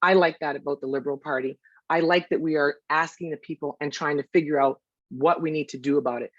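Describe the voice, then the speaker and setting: A woman candidate gives a deliberate, measured campaign statement. The sound is dry, with no room echo, and dull and lo-fi. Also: deep voice, steady tone. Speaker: Liberal candidate, criminal lawyer.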